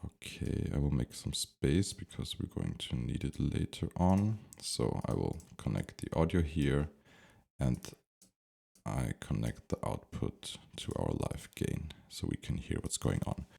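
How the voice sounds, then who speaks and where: A man giving an unscripted software tutorial, thinking aloud step by step as he instructs. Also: extremely low pitch, big pitch swings, unhurried, vocal fry, breathy edge.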